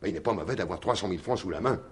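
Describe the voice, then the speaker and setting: A man's voice: low voice, very fast, slightly rough. An actor playing a shrewd, insistent detective in a French radio mystery in 1950s style, explaining his theory with emphatic deliberation. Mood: triumphant, accusing.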